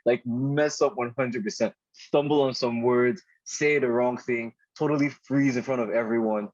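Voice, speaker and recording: A young man from Nigeria, a podcast guest talking in a relaxed, chatty way, often saying "dude" and restarting his sentences. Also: medium pitch, normal speed, no room sound. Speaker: podcast guest, product designer. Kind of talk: casual conversational interview. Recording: dull, slightly muffled podcast audio.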